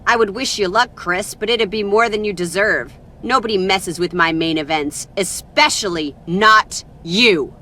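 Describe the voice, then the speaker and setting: A woman's voice: mid-range voice, measured pace, few pauses, sharp tone. A woman playing a stern, vindictive wrestling show boss in a video game, curt and commanding. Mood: angry threatening. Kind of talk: video game cutscene acting.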